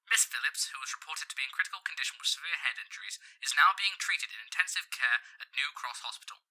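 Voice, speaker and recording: A man's voice: fairly high pitch, expressive, fast talker, sharp tone, exaggerated voice, poor audio. A man playing a formal news reporter heard over a radio, reading a scripted bulletin. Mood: serious, measured.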